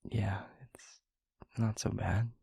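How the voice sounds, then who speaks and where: A man playing a gentle, concerned vampire boyfriend in an intimate audio roleplay, speaking softly and haltingly with a breathy, husky voice. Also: on the low side, level tone, dry recording, exaggerated voice. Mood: relieved, soothing. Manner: soft, hesitant, halting, reassuring.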